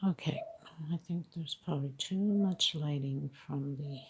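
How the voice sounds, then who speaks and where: A woman's voice: deep voice, unhurried, darkish tone, husky, low-quality recording. A woman teaching crochet step by step, counting stitches patiently, her voice congested. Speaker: crochet instructor. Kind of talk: step-by-step craft tutorial.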